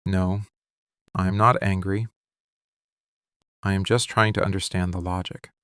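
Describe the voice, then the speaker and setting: A possessive, wounded mafia boss, played by a man in a dramatic ASMR monologue. His voice is on the low side and he takes his time, weighing each short phrase with controlled intensity. The sound is close and very dry. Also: little pitch movement, a little slow, fairly bright tone, clear, resonant tone, dry recording. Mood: tense, sardonic. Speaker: ASMR voice actor.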